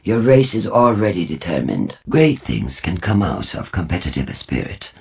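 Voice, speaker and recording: Husky, deep robotic war-cult prophet. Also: male voice, huge pitch swings, normal speed, exaggerated voice. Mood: solemn, reflective. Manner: solemn, theatrical, portentous. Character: zealous, cryptic robotic war-cult leader and faction vendor. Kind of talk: scripted video game character dialogue.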